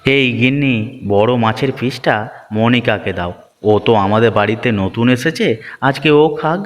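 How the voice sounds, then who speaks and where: An actor voicing a kind-hearted, poor fisherman in a cartoon, gentle and good-natured. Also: medium pitch, moderate pace, long reverb tail, lightly acted. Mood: cheerful. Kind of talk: Bengali cartoon dubbing.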